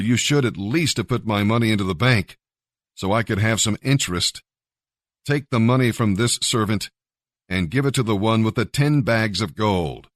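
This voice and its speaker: A man's voice: fairly low pitch, no echo, studio quality, lightly acted. A man voicing a wealthy, authoritative master addressing his servants in a scripture story.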